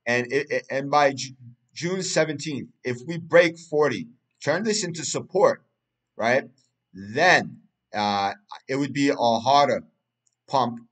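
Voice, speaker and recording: A man's voice: mid-range voice, slow, fairly bright tone, low-quality recording. A man, a Turkish speaker, talking through a price chart in casual analysis, rambling with many fillers and restarts. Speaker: crypto market analyst.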